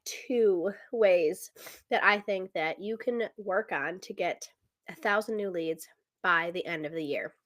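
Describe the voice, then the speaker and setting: A woman talks through her business plan alone on her podcast, casual and chatty, working out sums aloud. Her voice is a little congested and rough from a cold. She sits at a middling pitch for a woman. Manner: chatty, many fillers, thinks aloud with math. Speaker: podcast host. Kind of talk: casual solo business talk.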